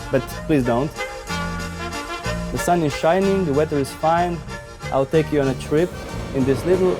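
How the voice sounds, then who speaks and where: Slovenian guy doing a tour guide welcome, kinda high voice, music playing under him. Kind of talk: jokey scripted welcome to visitors.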